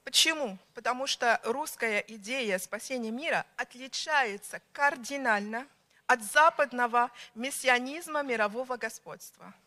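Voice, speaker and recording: A woman delivers a formal conference speech in a high, bright voice. She speaks slowly, stressing each word and pausing between the items of her lists. The tone stays measured and deliberate throughout. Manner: slow, emphatic, pausing between list items.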